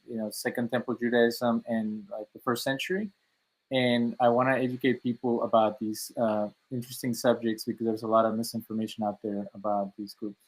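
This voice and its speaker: Flat-toned Mexican-born man, halting. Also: mid-range voice, rough recording. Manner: halting, many fillers and restarts. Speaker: author guest. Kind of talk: interview answers.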